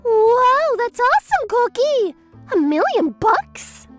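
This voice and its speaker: A woman's voice: very high voice, sing-song, slow, soft background music, full character voice. A woman playing a bubbly, money-obsessed young schoolteacher with a gushing manner in a manga dub. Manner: gushing, peppers talk with French phrases.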